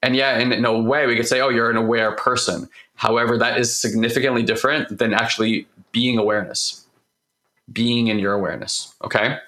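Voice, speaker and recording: A man with a hoarse voice, recovering from losing it, hosting a personal growth talk and speaking openly and emotionally, rambling with restarts. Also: medium pitch, fairly even intonation, a little fast, sharp tone. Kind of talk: candid heartfelt monologue.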